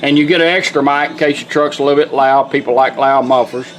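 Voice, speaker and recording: A man's voice: mid-range voice, steady tone, fast, fairly bright tone, a little hiss or hum, poor audio. A man giving a casual hands-on product demonstration in a plain-spoken, folksy, step-by-step way.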